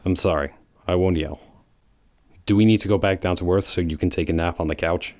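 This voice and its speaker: A man playing a brooding, serious hedgehog antihero with terse, deadpan lines in a fan audio drama. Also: sits low, a little fast, no echo, studio quality, lightly acted. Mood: awkward.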